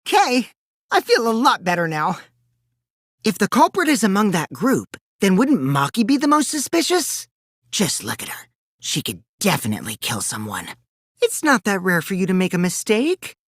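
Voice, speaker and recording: A very dry, close recording of a boyish, high-voiced teenage trickster from a video game. The delivery is theatrical and taunting, swinging widely between sing-song teasing and cold deceit. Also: male voice, wildly swooping pitch, normal speed, fairly bright tone, no room sound, heavily acted. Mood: mocking, playful, then cool, sly. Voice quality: boyish, light. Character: mischievous, taunting teenage boy schemer, gleefully deceitful. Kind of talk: animated video game dub acting.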